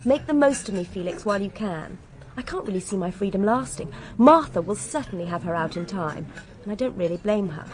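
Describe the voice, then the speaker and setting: An actress playing a cool, sharp-tongued young widow with an upper-class English accent, clipped and frank, in a radio drama. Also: female voice, mid-range voice, expressive, fairly quick, natural voice. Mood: dry, irritated.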